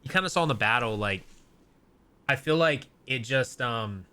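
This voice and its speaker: A man's voice: mid-range voice, animated, moderate pace, pushed and bright, clean tone. A young man hosting a casual podcast, rambling with lots of fillers and restarts. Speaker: podcast host. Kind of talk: casual chat.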